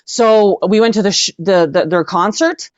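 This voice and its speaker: A woman's voice: medium pitch, moderate pace. A woman guest telling casual anecdotes in an effusive, emphatic way, repeating herself for stress. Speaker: guest, rock singer. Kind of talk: casual anecdote storytelling in an interview. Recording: dull lo-fi audio, roomy echo.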